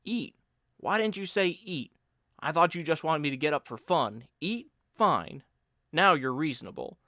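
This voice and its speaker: A man voicing a lazy, joking friend who teases and mock-lectures in a loud, raised voice. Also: on the high side, animated, measured pace, no echo, clean studio sound, pitched above the performer's own voice, exaggerated voice. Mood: teasing.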